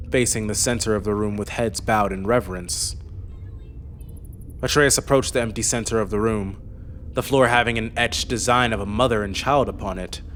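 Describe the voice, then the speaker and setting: A man's voice: fairly low pitch, fairly bright tone, slight background noise. A man reading scripted fantasy narration as an audiobook narrator. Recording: faint music under the voice.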